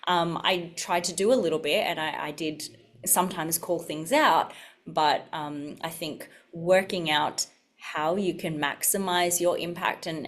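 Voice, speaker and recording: Woman panelist, lower pitch, reflective and measured. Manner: reflective, thoughtful, hesitant fillers. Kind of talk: panel discussion.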